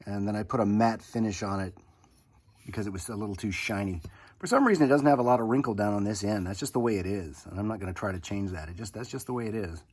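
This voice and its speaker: Low-voiced man, casual and folksy. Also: quick pace, darkish tone. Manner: informal, folksy, thinking aloud. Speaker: hobbyist restorer presenting own project. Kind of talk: casual hands-on restoration walkthrough.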